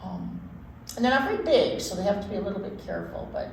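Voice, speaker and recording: Animated woman reading to children, echoey room. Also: mid-range voice, quick pace. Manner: folksy, informal asides with repetition for emphasis. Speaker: children's storytime presenter. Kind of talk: read-aloud with chatty explanations for young children. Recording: echoey room with background noise.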